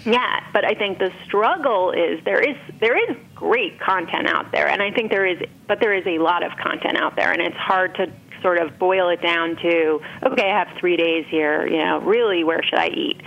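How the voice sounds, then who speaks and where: A woman's voice: on the low side, normal speed, few pauses. A woman talking casually as an interview guest, explaining things with frequent conversational filler phrases. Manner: explanatory, often interjecting "you know". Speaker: interview guest, food writer and entrepreneur. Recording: muffled, band-limited with clear background noise.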